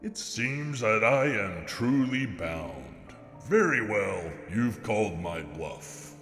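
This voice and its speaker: A man's voice: on the low side, very animated, slow pace, a bit bright, exaggerated voice. A man voicing a theatrical demon, haughty yet genial, who speaks in grand, exclamatory rhyme for a dramatic poem reading. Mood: grudging, submissive. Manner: grandiloquent, rhyming, exclamatory speech. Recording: long reverb with music behind.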